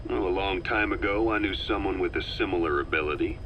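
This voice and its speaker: Very low-voiced, terse soldier. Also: male voice, brisk, a bit bright. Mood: curious, dry. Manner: terse, dry, low-key questioning. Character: reserved, inquisitive male soldier questioning an elder. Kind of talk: dramatic video game dialogue. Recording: dull cassette tape with faint noise.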